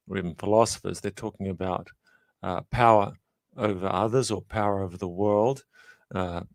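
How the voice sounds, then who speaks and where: A middle-aged man from Australia, a professor giving measured, reflective interview answers full of small pauses and fillers. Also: lowish voice, no echo. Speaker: guest, professor.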